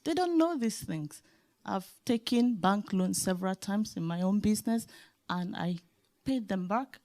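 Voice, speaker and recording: A woman's voice: mid-range voice, a little mellow. A woman with a Nigerian accent, a leadership specialist speaking emphatically on a conference panel. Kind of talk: panel discussion remarks.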